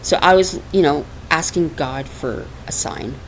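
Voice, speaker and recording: A woman's voice: deep voice, unhurried, rough recording. A woman hosting a spiritual podcast in a casual, chatty way, laughing often and using lots of fillers, recorded on a laptop microphone at home. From Portugal. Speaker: podcast host and minister. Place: dark hot room at home. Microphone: laptop microphone on her lap. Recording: raw home laptop recording with faint hiss.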